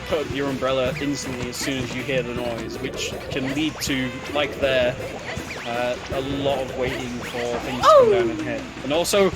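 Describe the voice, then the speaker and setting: A man giving casual, explanatory speedrun commentary in a somewhat halting way. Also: mid-range voice, very animated. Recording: game music behind the voice. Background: video game music.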